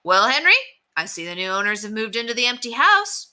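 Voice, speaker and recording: A woman doing a brisk encouraging grown-up manager. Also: a little high, big pitch swings, bright, forceful tone, smooth, voice pushed up, full character voice. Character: friendly middle-aged male district manager.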